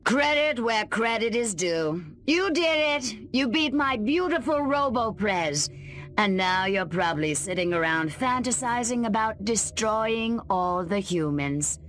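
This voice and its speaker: A woman's voice: a little high, expressive, a little slow, a bit bright, slight background noise. A woman playing a scornful, patriotic government agent villain in a video game, theatrical, taunting and sarcastic.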